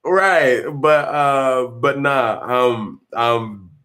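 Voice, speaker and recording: A man, chatting as an interview guest in a relaxed way with many fillers and restarts. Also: medium pitch, drawn-out words. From North Carolina. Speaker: guest actor. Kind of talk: casual interview.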